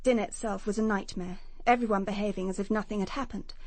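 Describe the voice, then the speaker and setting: A woman's voice: mid-range voice, steady tone, fast talker, a little mellow, no room sound, close to an everyday voice. A woman playing a wounded, anxious young woman in a radio drama, confessing in a hesitant, hurt manner. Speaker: actress in a radio detective drama.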